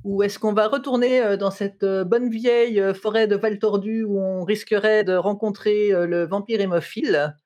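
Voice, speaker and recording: A woman's voice: medium pitch, fairly even intonation, normal speed, few pauses, warm tone, highs cut off. A woman acting as game master, narrating a tabletop game playfully with many fillers.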